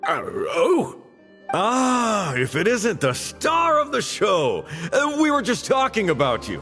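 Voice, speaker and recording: Game role: flattering theatre patron, highish, hugely animated pitch, gushing and polite, reverberant. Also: male voice, slow, full character voice. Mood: effusive. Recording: reverberant voice with music. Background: background music.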